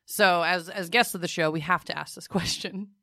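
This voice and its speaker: A woman's voice: on the low side, fairly even intonation, moderate pace, sharp tone, no room sound, clean studio sound. A woman in her twenties co-hosting a podcast, chatty and jokey in casual talk. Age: 20s. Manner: chatty, jokey, laughs often. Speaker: podcast co-host. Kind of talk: casual chat.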